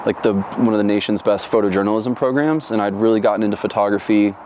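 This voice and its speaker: A man's voice: on the low side, quick pace, darkish tone, slight background noise. A man from Florida in his thirties, telling his life story in an oral history interview, reflective and rambling with many fillers and restarts.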